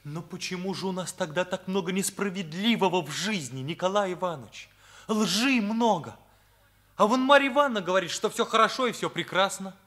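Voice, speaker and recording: A man's voice: sits high, fairly quick, sharp tone. An actor playing a troubled, sincere ninth-grade schoolboy in a radio drama, earnest, searching and hesitant in his delivery. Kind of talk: Soviet radio drama acting.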